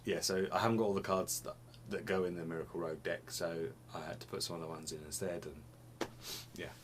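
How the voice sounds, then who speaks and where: Deep-voiced rambling young man, sniffly. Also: fairly even intonation, brisk, noisy background. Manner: rambling, restarts phrases, laughs often. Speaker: vlogger. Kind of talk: casual chat to camera. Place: small home room with computer desk.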